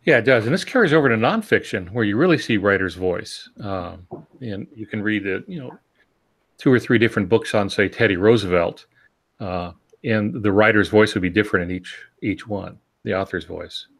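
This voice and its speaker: Low-pitched man chatting on a podcast. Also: normal speed, fairly bright tone. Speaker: podcast co-host. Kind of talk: casual conversational podcast talk.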